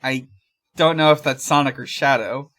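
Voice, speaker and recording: A woman's voice: rumbling low, steady tone, moderate pace, a bit bright, faint music behind. A woman co-hosting a podcast, chatting casually and reading fan fiction aloud. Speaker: podcast co-host.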